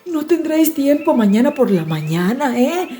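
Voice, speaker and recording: A woman's voice: sits high, big pitch swings, moderate pace, dark tone, pitched above the performer's own voice. The ogre's good-hearted wife, voiced by a woman storyteller, pleads in a hesitant, coaxing way. She is timid and placating in this fairy tale, with faint music underneath. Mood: pleading, coaxing.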